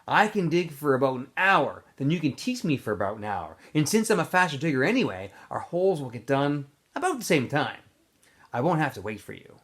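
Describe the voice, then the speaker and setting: A man reading aloud and voicing a quiet, clever boy who answers in soft, matter-of-fact replies. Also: a little high, big pitch swings, fairly quick. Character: quiet clever boy digger, shy and proud.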